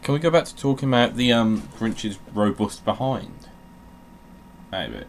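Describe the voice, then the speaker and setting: Low-pitched man with animated, swinging delivery. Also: unhurried, a little hiss or hum. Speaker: host of a game playthrough channel. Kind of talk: casual gaming commentary.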